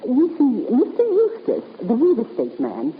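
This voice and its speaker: A woman's voice: sits high, huge pitch swings, measured pace, heavily acted. An actress playing a fretful, genteel elderly lady companion in a radio drama, hesitant and fluttery in her speech. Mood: anxious, fluttery. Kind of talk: 1950s radio drama acting. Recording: muffled, noisy 1950s radio recording, reverberant.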